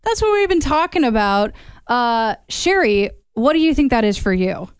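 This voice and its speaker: A woman's voice: a little high, sing-song, normal speed, clear, resonant tone, dry recording, studio quality. A woman hosting a radio show, upbeat and steering the chat as she reads out listener comments. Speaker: radio host. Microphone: studio mic.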